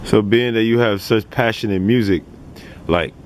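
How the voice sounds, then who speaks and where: A man's voice: on the low side, normal speed, a little hiss or hum. A man interviewing a rapper, asking long rambling questions full of "like" and "you know". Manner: rambling, repeated "like" while asking. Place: outdoors in a city neighborhood.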